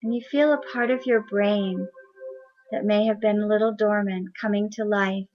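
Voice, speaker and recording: A woman leading a guided meditation in a soft, soothing, unhurried voice. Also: medium pitch, fairly even intonation. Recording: dull lo-fi recording.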